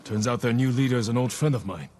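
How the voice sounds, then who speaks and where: A man's voice: medium pitch, fast, darkish tone, a little hiss or hum, close to an everyday voice. A man acting an earnest, resolute young samurai in a video game cinematic, serious and restrained in the way he talks. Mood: restrained resolve.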